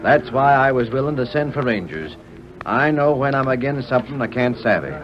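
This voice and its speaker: A man's voice: medium pitch, lively intonation, brisk, background noise, close to an everyday voice. A man playing a proud, blunt sheriff with an American Western accent, in 1930s radio acting. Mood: defiant. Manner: blunt folksy cowboy talk. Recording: dull old radio, crowd behind.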